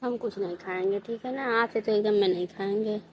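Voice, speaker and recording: A woman's voice: mid-range voice, brisk, natural voice. A woman with an eastern Hindi-belt accent playing a coy, flirtatious married sister-in-law in a staged casual phone call. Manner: coy, complaining, colloquial. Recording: noisy phone-call style recording.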